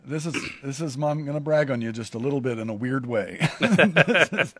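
A pastor hosting a casual conversation, a man who talks in halting, rambling sentences with many restarts. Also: mid-range voice, lively intonation, husky, no echo. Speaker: pastor and show host.

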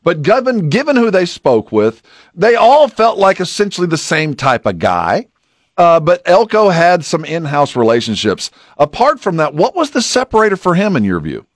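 A man hosts a sports talk interview in a slightly high voice. He asks his questions conversationally, and the sound is close and dry with no room echo. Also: huge pitch swings, normal speed. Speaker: sports radio host.

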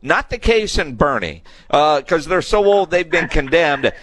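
A man's voice: fairly high pitch, big pitch swings, measured pace, a crowd in the background. A man hosting a sports radio show, chatting casually and telling teasing anecdotes. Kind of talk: casual chat.

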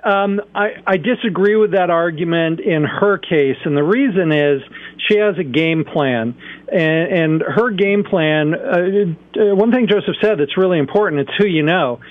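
A middle-aged father on a call-in show, speaking in a measured, thoughtful way, with a few restarts. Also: sits high, dry recording. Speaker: parent guest on a call-in show. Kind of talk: reflective conversational talk. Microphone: telephone. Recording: tinny phone line.

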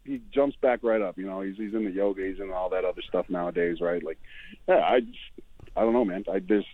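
A man's voice: sits low, a little fast, no echo. A man in his forties from New Zealand, giving blunt, rambling opinions as a commentator on a radio sports show. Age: 40s. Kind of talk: casual radio sports chat. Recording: dry tinny phone line.

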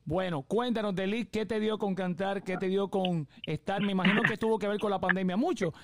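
A man's voice: high voice, fairly even intonation, fairly quick, a little hiss or hum. A Puerto Rican man hosting a live radio interview, enthusiastic and chatty, with many fillers. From Puerto Rico. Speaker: radio host.

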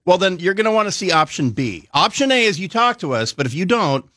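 A man's voice: sits high, very animated, brisk, sharp tone, dry recording. Blunt sardonic man on talk radio. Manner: blunt, sardonic, restarts phrases. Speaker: guest military analyst. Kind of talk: talk radio guest commentary.

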